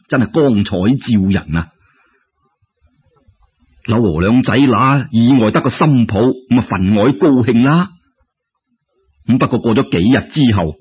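A man with a Cantonese accent telling a folk tale in an expressive, colloquial, exclamatory storyteller's style, his voice husky. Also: on the low side, lo-fi sound.

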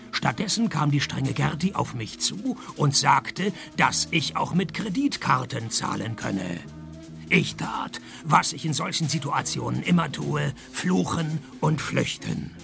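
An actor playing a sardonic, hard-drinking male private detective in a radio play, deadpan in wit. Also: on the high side, vocal fry, full character voice. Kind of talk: scripted noir parody narration and dialogue.

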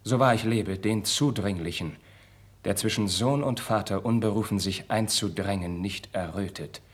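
Fervent young prince, slightly husky. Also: male voice, on the low side, normal speed. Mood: scornful. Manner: declamatory, fervent, theatrical stage diction. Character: impassioned young Spanish crown prince, proud, idealistic, wounded son. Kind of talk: 1950s German radio drama acting.

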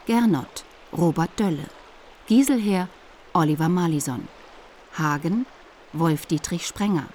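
Slow, mellow woman announcer. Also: on the low side, slight background noise. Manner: measured, list-like reading. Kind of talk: scripted radio credits announcement.

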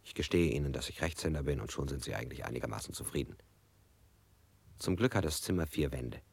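An actor playing a dazed man under interrogation, who answers in detached, halting fragments. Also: very deep voice, expressive, gravelly, husky, dry recording, exaggerated voice. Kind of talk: radio drama acting.

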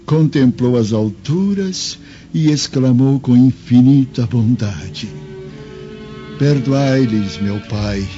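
An actor playing a pious, gentle old Samaritan man in a radio drama, speaking with solemn, reverent emotion. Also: medium pitch. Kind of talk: radio drama acting, solemn preaching and prayer. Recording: dull radio-drama recording over music.

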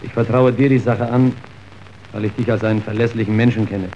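A man's voice: on the low side, little pitch movement, a little fast, mellow, lo-fi sound, a little hiss or hum, natural voice. An actor playing an older, callous factory foreman, precise and secretive, in a radio drama. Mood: businesslike, secretive.

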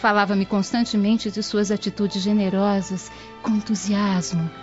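Tender young Roman noblewoman played by an actress, mid-pitched, earnest, devout, radio drama with faint music. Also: little pitch movement, moderate pace, natural voice. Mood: admiring. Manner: earnest, formal storytelling. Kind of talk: dramatic radio soap acting. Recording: dull lo-fi, music behind.

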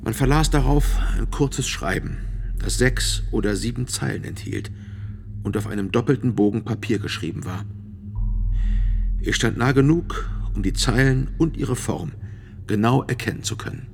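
An actor playing a sober physician who gives measured, precise testimony as a witness in a radio drama. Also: male voice, medium pitch, vocal fry, a little hiss or hum, lightly acted. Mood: sober, grave.

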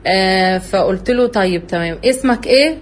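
A woman with an Egyptian Arabic accent giving an earnest religious talk, telling her own story in an animated way. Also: mid-range voice, normal speed. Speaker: religious speaker.

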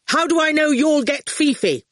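A woman's voice: high voice, expressive, moderate pace, no echo, studio quality, voice pushed up. A woman voicing a hot-tempered, haughty man who snaps with indignant scorn in a story. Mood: suspicious, scornful.

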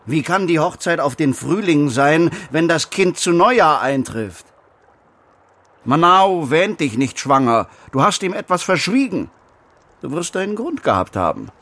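A man's voice: fairly high pitch, very animated, unhurried, a bit bright, full character voice. An actor playing a stern, suspicious man in a radio play, questioning in a severe, probing manner with formal diction. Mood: reproachful. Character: stern, suspicious man interrogating a young relative. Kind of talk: German radio play acting.

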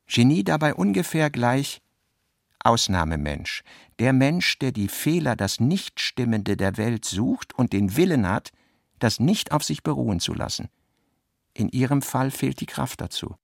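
A man reading literary notes and prose for a radio play, in deliberate, clipped phrases with pauses between them. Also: medium pitch, very animated, creaky.

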